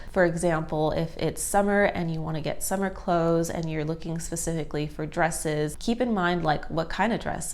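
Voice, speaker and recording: A woman in her twenties with a nasal, stuffed-up voice from allergies, chatting casually to camera and giving tips. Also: on the low side. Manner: chatty, friendly, many fillers like "like" and "so". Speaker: thrifting vlogger. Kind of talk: casual vlog talk to camera.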